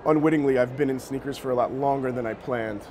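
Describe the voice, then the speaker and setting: A man's voice: mid-range voice, fairly even intonation, fast talker. An American man in his thirties answering interview questions casually, in informal, slangy speech. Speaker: company co-founder being interviewed. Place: sneaker shop showroom, indoors. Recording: clear background noise.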